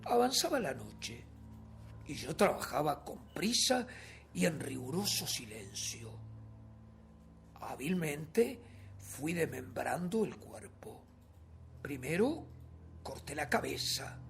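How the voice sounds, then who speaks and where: Radio horror narrator: an unhinged, nervous murderer, wildly varied pitch, hushed to forceful, music underneath. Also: male voice, vocal fry, heavily acted. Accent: Uruguayan. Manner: theatrical, drawn-out, swinging between hushed and forceful. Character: nervous, obsessive male murderer insisting he is sane. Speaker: radio theatre actor narrating. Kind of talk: dramatic first-person horror story reading, radio theatre acting.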